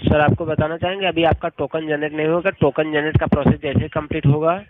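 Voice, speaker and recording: A man's voice: on the high side, level tone, brisk, over music. A man with an Indian accent working as a helpline agent, answering politely and formally on a recorded phone call. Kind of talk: customer service phone call. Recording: tinny phone line with clear noise.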